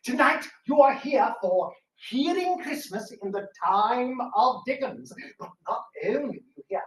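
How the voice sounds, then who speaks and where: A woman's voice: fairly high pitch, animated, unhurried. A woman playing a genteel Victorian radio presenter, declamatory, playful and emphatic, addressing a live audience. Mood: grand, playful. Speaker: stage host of a live radio-theatre show. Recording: roomy live hall, distant mic.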